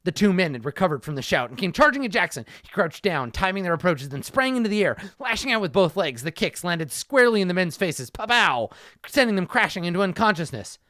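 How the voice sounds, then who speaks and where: A man reading an action story aloud, his voice lively and rising and falling with the fight scenes, breaking in with sarcastic little asides. The sound is dry and close to the mic. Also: high voice, a little fast, no echo. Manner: wry, sarcastic interjections.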